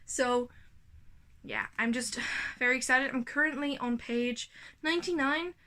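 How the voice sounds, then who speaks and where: Woman, 20s, somewhat high pitch, chatty, enthusiastic book vlogger. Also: unhurried, pushed and bright. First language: Dutch. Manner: chatty, gushing, self-correcting. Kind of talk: casual reading vlog update.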